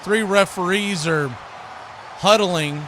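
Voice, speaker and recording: A man's voice: high-pitched, slow, pushed and bright, slight background noise, poor audio. An American man working as a sports host and play-by-play commentator on a live football watch party.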